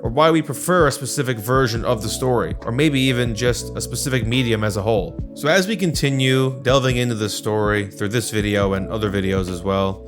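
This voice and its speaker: Man narrating a video essay over music. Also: medium pitch.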